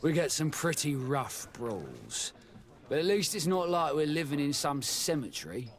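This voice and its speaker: A hearty tavern keeper in a video game, an old soldier turned publican. His voice sits a little high and swings freely as he talks. He is folksy, chatty and a touch sly. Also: very animated, measured pace. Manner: folksy, colloquial. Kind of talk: video game dialogue acting.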